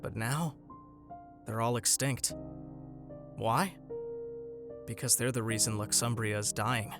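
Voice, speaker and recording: A man playing a young, adventurous alien historian in an animated film, earnest and hopeful in a reflective, conversational way. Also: medium pitch, takes it slowly, lightly acted. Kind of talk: animated film voice-over narration and dialogue. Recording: music under the voice.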